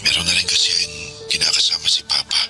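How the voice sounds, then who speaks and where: Evasive middle-aged Filipino dad, low voice, hesitant, busy, radio drama over clear music. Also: male voice, little pitch movement, quick pace, pushed and bright. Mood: uneasy, evasive. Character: evasive, busy middle-aged father putting off his daughter.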